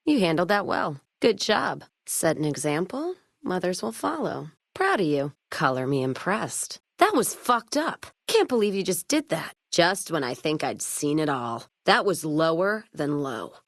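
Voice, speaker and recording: A woman playing a hard-drinking, sharp-tongued American caravan owner in a post-apocalyptic western game, with blunt, sardonic, folksy profanity. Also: on the low side, big pitch swings, unhurried, few pauses, dry recording, clean studio sound, exaggerated voice. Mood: frank, judging. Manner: blunt, sardonic, profane frontier drawl with folksy turns of phrase. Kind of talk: video game character dialogue.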